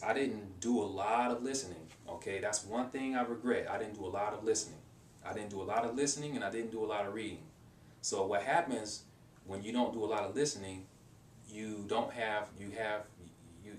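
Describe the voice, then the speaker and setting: A young man giving casual, rambling advice in a language-learning vlog, with many restarts and fillers. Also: distant mic. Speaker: language-learning video maker. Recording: roomy echo, off-mic, faint rumble.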